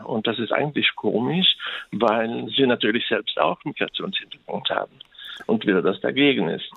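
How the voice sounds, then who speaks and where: An older Dutch-speaking man, an interviewed professor, talking in German in a measured, thoughtful way with pauses, heard over a phone line. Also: mid-range voice, gravelly, no room sound. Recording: tinny, dry phone line.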